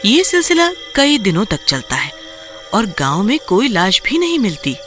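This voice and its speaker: A man's voice: high-pitched, wildly swooping pitch, normal speed. A man narrating a scripted children's horror story as the storyteller. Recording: music clearly behind the voice.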